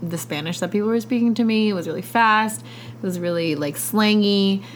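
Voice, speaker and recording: A woman's voice: mid-range voice, normal speed, slight background noise. A young adult woman from California, a podcast guest telling stories in a chatty way, full of "like" and frequent laughter. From California, USA. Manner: chatty storyteller, many "like" fillers, frequent laughter. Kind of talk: casual conversational interview.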